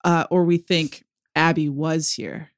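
A woman co-hosting a podcast, sharing thoughts in a slow, halting way. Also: deep voice, fairly even intonation, no room sound, studio quality. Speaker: podcast co-host. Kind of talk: casual interview chat.

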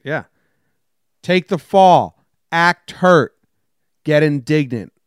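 A sarcastic man co-hosting a casual sports podcast, his voice pitched a touch high and very clean. He rattles off quirky headlines with deadpan jokes. The studio sound is close and dry. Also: very slow, clean tone, no echo. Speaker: podcast co-host. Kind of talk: casual sports chat and jokey news rundown.

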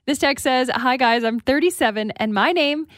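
Lady radio cohost reading texts, kinda high voice, close dry sound. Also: normal speed, dry recording. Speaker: radio co-host. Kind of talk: casual radio chat, reading listener texts.

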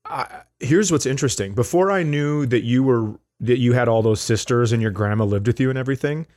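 A man's voice: mid-range voice, fairly quick, a little mellow. Teasing male co-host with mid-range voice. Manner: profane, teasing, digressive. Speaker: podcast co-host. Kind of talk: casual comedic banter.

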